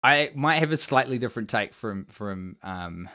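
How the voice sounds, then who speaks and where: A man's voice: fairly low pitch, lively intonation, moderate pace, bright, forceful tone. A man with a New Zealand accent, chatting casually as a podcast guest and giving opinions in a wry, mock-earnest way.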